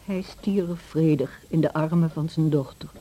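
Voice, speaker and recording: A woman's voice: low-pitched, a little slow, mellow, low-quality recording. A woman playing a loyal, caring housekeeper in a radio play, recounting events in a confiding, concerned way. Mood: grave recounting.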